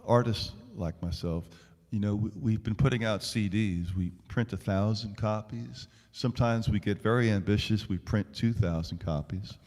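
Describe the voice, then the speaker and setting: An American man, a singer-songwriter chatting casually between songs at a live show, halting and rambling in a self-deprecating way. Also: lowish voice, darkish tone, in a large hall. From United States.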